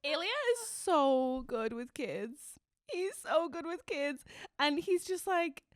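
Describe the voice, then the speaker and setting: Irish woman co-host, chatty and jokey, fairly high voice, dry close studio sound. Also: slow, no echo. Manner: animated, jokey, laughs often. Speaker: podcast co-host. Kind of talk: casual chat.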